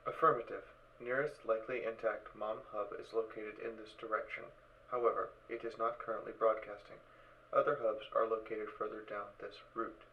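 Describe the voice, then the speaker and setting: A man voicing an emotionless suit computer in an audio drama, clipped, formal and deadpan, giving literal status reports. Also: lowish voice, brisk, dull audio, slight background noise, natural voice. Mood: flat, matter-of-fact. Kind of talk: fan audio drama acting.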